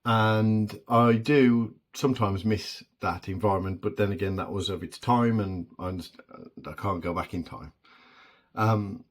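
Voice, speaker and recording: A man in his forties with a deep voice, talking alone in a halting, reflective way with many pauses and restarts. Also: steady tone. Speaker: vlogger. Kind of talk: solo reflective monologue.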